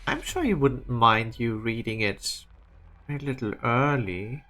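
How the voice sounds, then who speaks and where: A woman's voice: a bass voice, lively intonation, slow pace, a bit bright, slight background noise, heavily acted. A woman voicing a cool, impatient, calculating character in a game fan dub. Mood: sly, probing.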